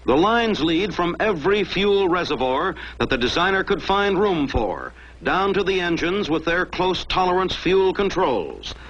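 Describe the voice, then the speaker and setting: A man's voice: high-pitched, big pitch swings, measured pace, hardly any pauses, a bit bright. An American man narrating a mid-century military training film from a script in a dramatic, emphatic manner. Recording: dull lo-fi old film soundtrack.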